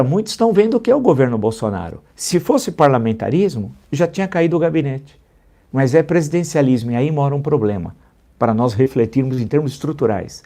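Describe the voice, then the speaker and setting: Homem comentarista enfático, voz escura. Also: male voice, dark tone. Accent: Brazilian Portuguese. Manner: emphatic, repeats figures for stress, rising pitch when indignant. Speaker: political commentator, host of a live show. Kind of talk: live political commentary.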